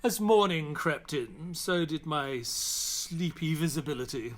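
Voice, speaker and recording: A man's voice: fairly high pitch, measured pace, noisy background, lightly acted. An actor playing an earnest, weary male companion to a detective, questioning and reflective, in an audio play. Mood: calm storytelling. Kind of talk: audio play acting and narration.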